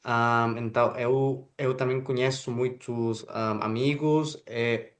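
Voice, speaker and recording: Halting man hosting in second-language Portuguese. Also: medium pitch, steady tone. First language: Spanish. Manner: halting, many filler sounds and restarts. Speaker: interview host.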